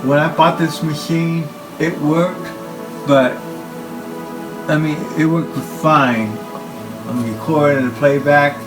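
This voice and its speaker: A man giving an informal, halting talk about equipment as a hobbyist presenter, speaking in short phrases. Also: a little high, fairly even intonation, over music, low-quality recording. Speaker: hobbyist presenter showing his tape machines.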